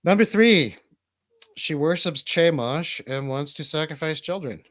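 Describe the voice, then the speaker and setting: A man's voice: fairly high pitch, animated, a little slow, a bit bright, dry recording. An American man co-hosting a comedy radio show as a DJ, chatting casually in rambling, profane, joking banter.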